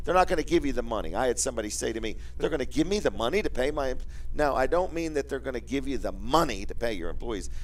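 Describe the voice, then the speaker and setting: A man's voice: medium pitch, distant mic, low-quality recording. An American man hosting a casual promotional radio chat in a folksy, repetitive salesman's manner with joking questions. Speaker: radio show host and business owner.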